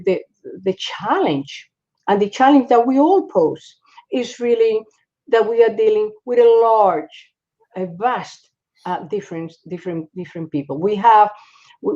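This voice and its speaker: A woman from the Republic, speaking as a psychologist guest in a panel discussion, halting, with many fillers and restarts. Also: medium pitch, mellow. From Dominican Republic. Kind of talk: panel discussion on a video call. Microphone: video call. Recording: dull lo-fi call audio.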